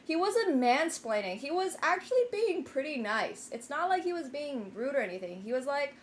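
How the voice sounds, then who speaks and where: A woman's voice: sits high, very animated, moderate pace, a little hiss or hum. A young woman who grew up in Finland, chatting casually with her live stream viewers, rambling with lots of fillers and restarts. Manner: rambling, many fillers and restarts, self-deprecating.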